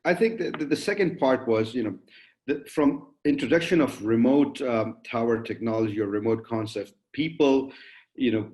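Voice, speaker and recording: A man moderating a panel discussion, putting wordy, polite questions to guests in a halting way. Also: medium pitch, a little mellow.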